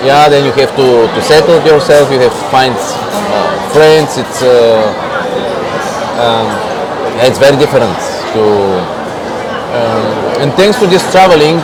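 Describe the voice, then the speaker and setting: A Bulgarian-accented man answers questions in a halting, searching way, restarting phrases as he talks. His voice sits at an ordinary middle pitch. A busy crowd and loud street noise surround him. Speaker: interviewee. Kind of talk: casual street interview answers.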